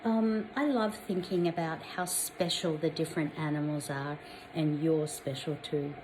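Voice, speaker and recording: An Australian woman reading a picture book aloud to young children, expressively, pausing to put questions to them. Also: deep voice, background noise. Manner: addresses young children directly, expressive storytelling.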